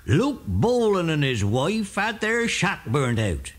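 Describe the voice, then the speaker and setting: A man voicing a kindly rustic shepherd with a folksy, unhurried manner in a children's audio drama. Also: on the high side, big pitch swings, voice pushed up, heavily acted. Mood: friendly, earnest.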